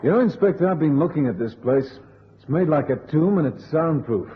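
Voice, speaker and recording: A man's voice: on the high side, animated, fairly quick, slight background noise, lightly acted. An actor playing a wisecracking, blunt male sleuth in an old radio detective drama, slangy, sardonic and pushy. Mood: sardonic, probing. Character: wisecracking, blunt tough-guy safecracker and sleuth. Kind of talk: golden-age radio detective acting. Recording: dull old radio recording.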